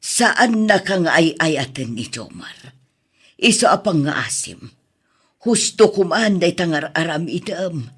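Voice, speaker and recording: A woman playing a worried, reproving middle-aged mother with a husky voice and an Ilocano accent in an audio drama. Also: lowish voice, very animated, takes it slowly, full character voice.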